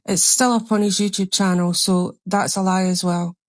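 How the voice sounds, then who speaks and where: A woman's voice: medium pitch, fairly even intonation, moderate pace, no room sound, studio quality. A woman narrating over screenshots in an indignant, condemning tone. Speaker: commentary narrator.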